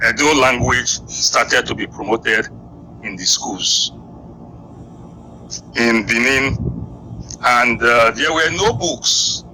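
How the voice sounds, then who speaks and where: Slow, bright-voiced Nigerian man explaining history. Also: mid-range voice, creaky, a little hiss or hum, poor audio. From Edo, Nigeria. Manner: slow, deliberate lecturing with long pauses. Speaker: guest historian.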